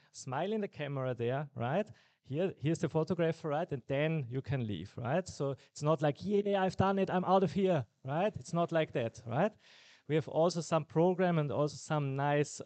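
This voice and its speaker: A man's voice: fairly high pitch, darkish tone, dry recording. A man with an Austrian accent hosting a graduation ceremony in a chatty way, often tagging his sentences with "right?". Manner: chatty, keeps asking "right?". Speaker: master of ceremonies.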